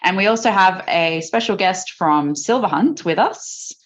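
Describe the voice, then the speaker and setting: A woman's voice: mid-range voice, expressive, measured pace. A woman with an Australian accent, hosting a live webinar in a friendly, chatty way with fillers. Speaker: webinar host. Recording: dull lo-fi video call audio.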